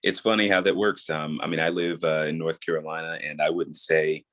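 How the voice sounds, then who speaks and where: A man from New York talks in a low, deep voice with a soothing tone. He is an interview guest, explaining his craft casually and in an easygoing manner. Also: flat delivery, a little fast, fairly bright tone. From New York, USA. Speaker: guest, audio drama creator and voice actor.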